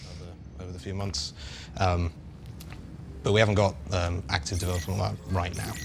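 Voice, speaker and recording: A man's voice: deep voice, little pitch movement, brisk, vocal fry, slight background noise. A man working as a game developer, casually answering questions with technical explanations.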